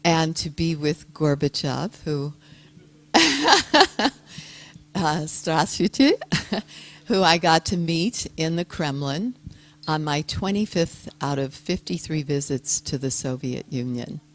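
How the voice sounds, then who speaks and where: An older woman, a guest speaker giving a soft-spoken, gentle and reflective personal talk to an audience. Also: deep voice, animated, takes it slowly, pauses often.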